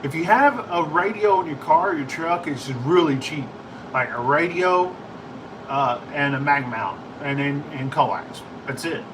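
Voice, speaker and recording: A distant-sounding microphone picks up an American man over clear background noise. His voice sits a little high for a man. He talks like a hobbyist livestreamer, informal and halting, wandering through his answers as he goes. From United States. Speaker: livestream host, ham and CB radio hobbyist. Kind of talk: casual livestream chat answering viewer questions.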